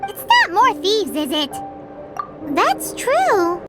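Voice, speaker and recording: A woman voicing a tiny, childlike, excitable fairy companion in a video game, with a squeaky voice. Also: takes it slowly, exaggerated voice. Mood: cheeky, chatty. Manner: exclamatory, refers to self by name. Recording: background music under the voice.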